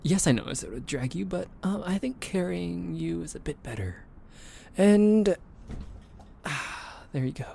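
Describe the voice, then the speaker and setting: A young man talks close to the mic in a soothing, teasing ASMR roleplay, slow and unhurried. His voice is warm and mellow with a gravelly edge and an occasional soft whisper. A faint hiss sits behind him. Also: sits high, lively intonation. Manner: gentle, teasing, soothing, with soft laughs and hesitant fillers. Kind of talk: soft ASMR roleplay, boyfriend comforting listener.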